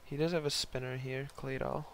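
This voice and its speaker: A young man hosts casual game commentary in a hoarse voice with heavy creak and fry at a middling pitch. He rambles in a laid-back, slangy way as he talks through the battle. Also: steady tone, moderate pace, a bit bright, slight background noise. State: hoarse, voice partly lost from concert the night before. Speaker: host narrating online game battles.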